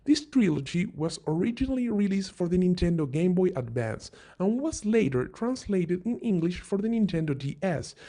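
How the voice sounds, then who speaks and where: A man's voice: high voice, sing-song, normal speed, few pauses, warm tone. A man narrating a scripted video game countdown as host, in an informal explainer manner, posing questions and answering them himself. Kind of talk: scripted video narration, top-five list commentary. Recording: music bed under the voice. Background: background music.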